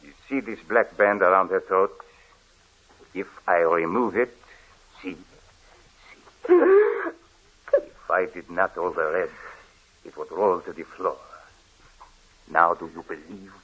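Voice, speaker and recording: An actor playing a cold, insistent man who reveals a ghastly truth, slow and ominous in 1940s radio horror style, heard through a dull old broadcast. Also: deep voice, sing-song, a bit bright, husky, full character voice. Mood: ominous insistence.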